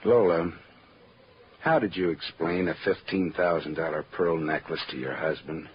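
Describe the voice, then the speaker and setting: An actor playing a wry, world-weary private detective in a terse, sardonic 1940s radio noir style. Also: male voice, low-pitched, normal speed, a little hiss or hum, close to an everyday voice. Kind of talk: 1940s radio noir acting and first-person narration. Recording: dull old radio recording with loud music.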